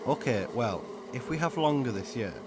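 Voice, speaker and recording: A man's voice: mid-range voice, lively intonation, normal speed, close to an everyday voice. A man playing Summer as a cheeky, laid-back season spirit with a casual, sarcastic manner in an amateur radio drama. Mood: defensive. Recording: clear noise, buzzing insects.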